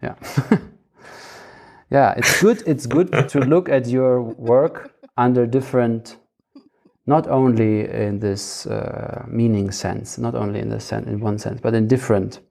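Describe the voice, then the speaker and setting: A man's voice: mid-range voice, steady tone, a little slow, creaky, darkish tone. A man in casual, reflective discussion, thinking aloud and repeating phrases as he searches for words. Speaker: theatre practitioner in conversation.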